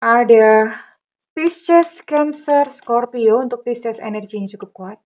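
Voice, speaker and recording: A woman's voice: a little high, animated, takes it slowly. A middle-aged woman doing a tarot reading for her viewers, speaking affectionately and calling herself Bunda. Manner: affectionate, calls listener darling, refers to herself as Bunda. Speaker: tarot reader. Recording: loud electronic music behind.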